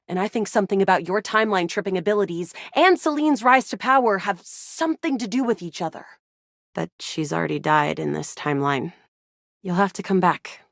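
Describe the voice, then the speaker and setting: A woman voicing a feisty, excitable young resistance fighter in a sci-fi video game, with a dramatic, playful delivery. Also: medium pitch, dry recording, clean studio sound. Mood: solemn, tender. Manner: animated, dramatic, playful banter. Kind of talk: video game character voice acting.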